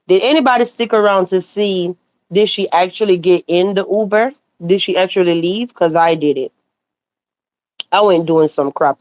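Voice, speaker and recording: Sassy woman streamer, slightly hoarse. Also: mid-range voice, no echo. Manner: animated, sassy, talks back to chat comments. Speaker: livestreamer reading chat. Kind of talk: casual live commentary.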